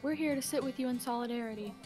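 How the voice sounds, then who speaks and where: A woman's voice: sits high, fast talker, dark tone, faint music behind, lightly acted. A woman acting an earnest, resolute young male student protester in an amateur radio drama.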